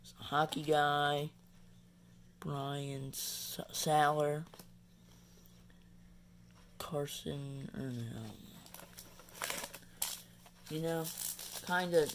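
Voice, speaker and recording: A man casually chatting while opening trading card packs at home, reading player names haltingly. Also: on the high side, steady tone, fairly bright tone, a little hiss or hum. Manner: mumbling, reads names haltingly. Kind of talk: casual box-break chat. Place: indoors at home, carpeted floor.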